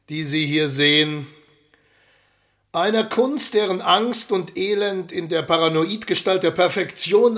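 A gallery host making a formal speech in a radio drama. The voice sits fairly high and moves slowly, with measured, oratorical weight. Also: male voice, a bit bright.

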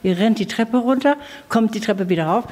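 A woman's voice: mid-range voice, brisk, a little mellow. An older woman giving a casual guided tour as a guest, recorded inside a house. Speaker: interview guest, audio drama director. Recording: music behind, on-location tour recording. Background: musical instruments being played.

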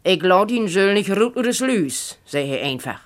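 A woman with a northern German, Low German accent reading a humorous story aloud in an expressive, acted-out manner. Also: normal speed. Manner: animated, acts out characters' speech.

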